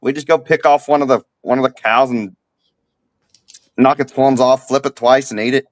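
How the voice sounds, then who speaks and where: A man's voice: mid-range voice, fairly even intonation, normal speed, no echo, close to an everyday voice. A man putting on a brash, cheeky Australian bloke, joking and playing dumb in a casual chat game.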